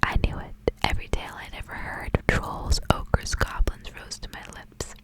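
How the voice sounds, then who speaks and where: A woman speaking in a soft, breathy, intimate whisper, as an ASMR host. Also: moderate pace. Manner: soft, hushed, intimate delivery. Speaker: ASMR host reading aloud. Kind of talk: whispered ASMR storybook reading with casual asides.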